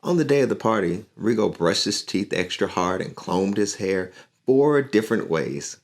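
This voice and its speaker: A deep-voiced man reads a picture book aloud for children. He speaks in an encouraging, unhurried way, guiding young listeners along. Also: lively intonation. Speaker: community leader and musician reading to children.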